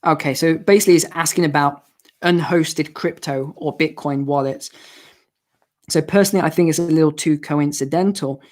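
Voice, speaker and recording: Male host, hoarse, live Q&A stream, chatty explainer. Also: on the high side, fairly even intonation, moderate pace. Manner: explanatory, chatty, with restarts and asides. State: hoarse, losing his voice. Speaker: finance channel host. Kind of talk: live-stream Q&A, answering viewer questions.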